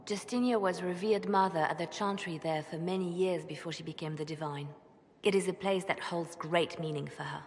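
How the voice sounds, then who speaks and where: An actress playing a composed, devout, pragmatic spymaster in a video game, speaking in a measured, earnest way. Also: female voice, fairly low pitch, steady tone, natural voice. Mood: wistful. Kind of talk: video game cutscene dialogue. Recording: long reverb, faint background noise.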